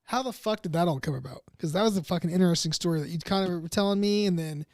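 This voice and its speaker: A man's voice: high-pitched, fast, a little mellow, dry recording, studio quality. A man bantering crudely with friends on a podcast, swearing freely and cracking jokes. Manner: crude, profane, joking. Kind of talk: casual banter.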